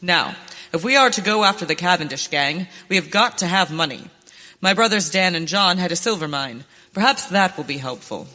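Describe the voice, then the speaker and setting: A woman's voice: lowish voice, animated, moderate pace, sharp tone. A woman playing a determined young rancher turned masked avenger in a western radio play, speaking earnestly and theatrically. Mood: earnest, planning. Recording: reverberant hall, stage performance.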